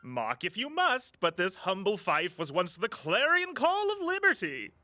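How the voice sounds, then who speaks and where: Showy colonial tour guide, highish lively voice, playful audio drama type. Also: male voice, a little slow, faint music behind, full character voice. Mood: grandiose, playful. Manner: grandiose mock-archaic speech. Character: theatrical male colonial-costumed tour guide, flowery and playful.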